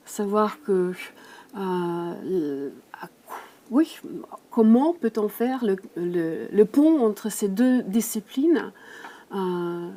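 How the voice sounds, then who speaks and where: A woman's voice: medium pitch, warm tone. A woman at a conference interview who searches for her words, using many fillers. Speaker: coach.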